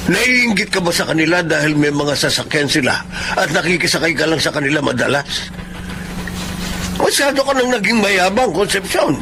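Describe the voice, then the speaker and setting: Gentle older Filipino father, noisy recording. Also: on the high side, very animated, normal speed. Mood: reproachful. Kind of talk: Filipino radio drama acting. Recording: noisy radio drama recording.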